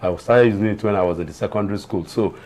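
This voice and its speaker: A man's voice: on the low side, very fast, darkish tone. A man in his sixties with a Nigerian accent, talking as a television panelist, repeating himself for emphasis and storytelling. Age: 60s. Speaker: panelist on a TV talk show. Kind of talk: animated opinion and anecdote in conversation.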